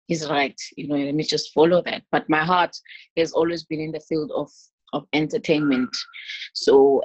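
A South African woman in her thirties talking casually about her life on a video call, chatty and quick to laugh. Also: low voice, no room sound, lo-fi sound. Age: 30s. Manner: chatty, laughs often, many restarts. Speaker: interview guest, gospel singer. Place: small room at home. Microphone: laptop webcam on a video call.

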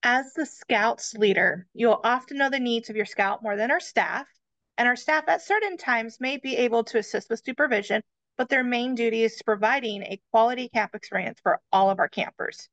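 A woman's voice: on the high side, moderate pace, fairly bright tone, no echo. A woman presenting camp check-in and checkout rules on a video call, friendly and talking to listeners as "you guys". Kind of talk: slideshow briefing. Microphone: webcam on video call.

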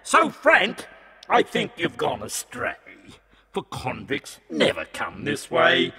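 Scornful shouting devil in a recited ballad, pitched way up and gravelly, as a man plays him. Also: wildly swooping pitch, slow pace, a loud crowd, heavily acted. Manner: shouting, sneering, high strained voice. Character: Satan, scornful male devil who mocks the poor. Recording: long reverb hall.

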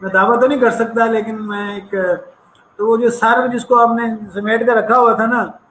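A man's voice: very high-pitched, little pitch movement, normal speed, bright, forceful tone, roomy sound, slight background noise, low-quality recording. A man with a slight American accent, an entrepreneurship professor telling stories in an earnest, reflective way as an interview guest. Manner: earnest, reflective, sprinkles English phrases. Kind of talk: conversational interview storytelling.

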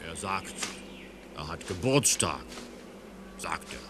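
Slow, halting little bear. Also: male voice, deep voice, sing-song, noisy background, heavily acted. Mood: patient. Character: small good-natured, hesitant bear. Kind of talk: children's audio play.

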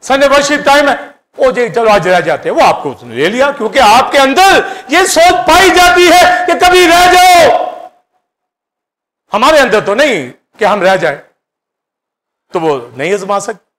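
A middle-aged man with a Pakistani Urdu accent preaching a sermon in a church hall on a handheld mic, swinging between loud bursts and hushed asides. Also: very high-pitched, sing-song, a little slow, pauses often. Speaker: pastor.

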